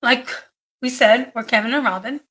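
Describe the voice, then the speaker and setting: A woman's voice: a little high, moderate pace, fairly bright tone. A middle-aged woman from New Hampshire, co-hosting a podcast in casual conversation with a teasing, plain-spoken manner. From New Hampshire, United States. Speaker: podcast co-host.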